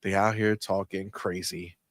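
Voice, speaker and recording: A man co-hosting a casual gaming live stream, stuffy-nosed, talking in an animated way, swearing freely and laughing often. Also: sits low, a little slow, no echo, clean studio sound. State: stuffy nose. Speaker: gaming podcast co-host.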